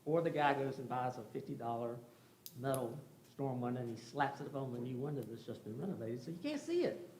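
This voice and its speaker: A man's voice: medium pitch, animated, fairly quick, darkish tone. A man giving an informal, rambling presentation to a commission, explaining things in a conversational, folksy way as a contractor would. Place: indoor meeting room. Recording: echoey room with faint background noise.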